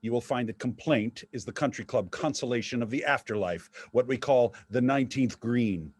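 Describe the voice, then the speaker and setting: A man's voice: mid-range voice, moderate pace, darkish tone, breathy edge, dry recording, close to an everyday voice. An actor playing a mocking, crass ghost of a father in a theatrical play reading, needling and dry.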